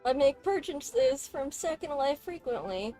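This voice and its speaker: A woman's voice: high voice, sing-song, a little slow, warm tone, faint music behind. A woman streamer giving casual, chatty commentary while she plays a video game. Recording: background noise and game ambience.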